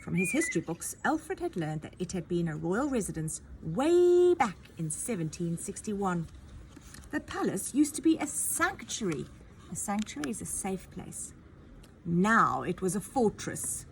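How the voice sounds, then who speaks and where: Expressive woman teacher reading aloud. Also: medium pitch, unhurried, a little hiss or hum, low-quality recording. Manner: expressive storytelling with chatty asides to young listeners. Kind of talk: reading a children's novel aloud.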